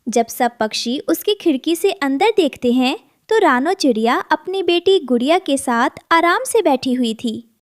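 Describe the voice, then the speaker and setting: High, lively woman storyteller. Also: measured pace, no room sound, studio quality. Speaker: storyteller, narrator. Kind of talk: scripted children's story narration.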